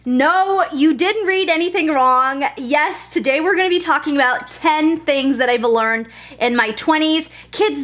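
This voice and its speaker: A bright, high-voiced young woman from Germany, a native German speaker, talking straight to camera. She chats candidly and loosely, full of fillers and little self-asides, in the tone of a lifestyle vlog. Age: 20s. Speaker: lifestyle vlogger. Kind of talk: casual talk to camera.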